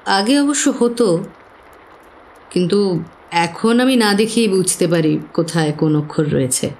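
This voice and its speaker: An anxious, slow-speaking female client. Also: lowish voice, lively intonation, darkish tone, poor audio. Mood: earnest, hesitant. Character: anxious, earnest female client of modest means. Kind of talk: Bengali audio drama acting.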